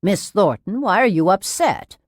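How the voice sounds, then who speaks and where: A woman's voice: medium pitch, expressive, measured pace, no echo, studio quality, lightly acted. A woman reading an audiobook as a severe nurse who talks in a syrupy, falsely soothing, patronising and overly reasonable manner. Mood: cool, reasonable. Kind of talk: dramatised audiobook narration.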